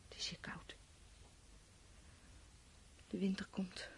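A woman's voice: takes it slowly, whispered, natural voice. A woman acting in a radio play as a weary, fearful, brooding survivor of a world war's collapse. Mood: resigned, drained.

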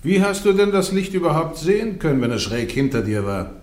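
An actor playing a formal, scholarly theologian who questions a prisoner with measured insistence in a radio play. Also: male voice, on the high side, big pitch swings, a little mellow. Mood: probing, strict. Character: probing, scholarly male theologian interrogating a prisoner. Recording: echoey room.

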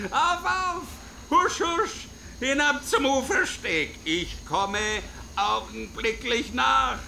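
An actor playing a flustered, tipsy older official who giggles, fusses and repeats himself in a radio play. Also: male voice, extremely high pitch, expressive, slow, gravelly, bright, forceful tone, a little hiss or hum, exaggerated voice. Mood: fussy, merry. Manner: fussy, giggling, exclamatory, repeats words. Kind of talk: German radio play acting.

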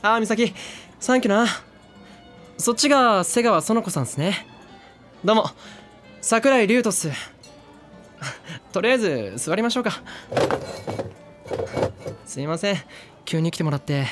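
A man's voice: sits high, lively intonation, a little slow, long pauses, over music. A man voicing a brash teenage boy who talks in a laid-back, slangy way. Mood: easygoing. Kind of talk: anime drama CD acting.

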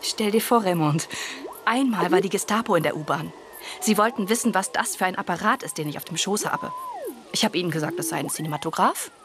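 A woman's voice: on the low side, big pitch swings, a little fast. An actress playing a defiant, proud young female secret agent in a radio drama. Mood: amused storytelling. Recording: clear background noise and ambience.

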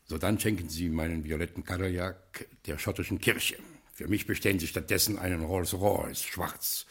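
An actor playing a reformed American gangster turned gentleman, giving grand, commanding orders in a 1950s German radio drama. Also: sits low, fairly even intonation, normal speed, husky, heavily acted. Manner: commanding, grandiose, brooks no objection. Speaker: castle owner giving orders.

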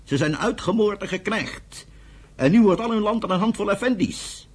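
An actor playing a principled, peace-minded man in a radio play, speaking in a measured, deliberate, reasoning way. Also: sits high, lively intonation, slightly rough. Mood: earnest. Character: thoughtful, principled older brother, peace-minded land buyer. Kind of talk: Dutch radio play acting.

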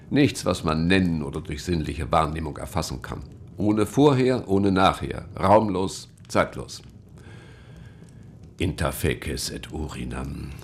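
An actor playing a stern, dogmatic, woman-hating medieval church inquisitor in a German radio drama, with solemn, deliberate phrasing. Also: gravelly, heavily acted. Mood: severe, solemn. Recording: faint low rumbling noise.

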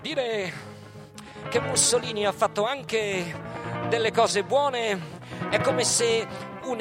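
A man giving a theatrical literary recitation for radio theatre, declaiming with dramatic emphasis and pauses. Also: sits high.

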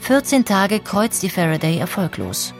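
A woman's voice: medium pitch, sing-song, normal speed. A woman reading scripted documentary narration as the narrator. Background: music.

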